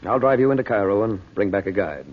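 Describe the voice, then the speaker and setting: A man playing a composed, commanding magician uncle in an old radio serial, with a measured, protective and authoritative way of speaking. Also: lowish voice, narrow, tinny audio, lightly acted. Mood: firm, controlled.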